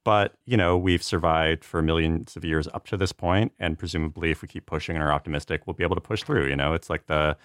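A man's voice: very deep voice, steady tone, fast, few pauses, a bit bright, no room sound, studio quality. A man chatting casually as a podcast guest, with loose, looping phrasing. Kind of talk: casual conversational chat.

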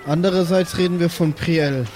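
A man's voice: on the high side, steady tone, moderate pace, a little mellow, slight background noise, low-quality recording. A man chatting casually as a player in an improvised role-playing game. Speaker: tabletop player.

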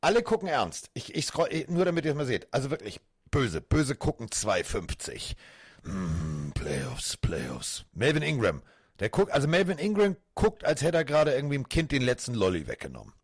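A man co-hosting a casual podcast, animated and rambling, with many fillers and restarts. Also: mid-range voice, moderate pace, breathy edge, no echo, studio quality. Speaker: podcast co-host.

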